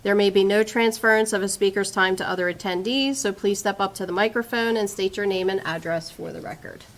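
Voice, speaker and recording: A woman's voice: mid-range voice, steady tone, a little fast. A woman official making formal remarks and reading procedure at a township meeting. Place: public meeting room, at a microphone. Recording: clear background noise and clicks.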